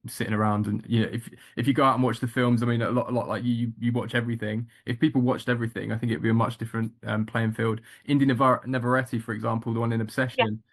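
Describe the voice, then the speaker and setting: Flat-voiced man, halting interview answers. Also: mid-range voice. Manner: hesitant, many ums and restarts, flat delivery. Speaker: actor, interview guest.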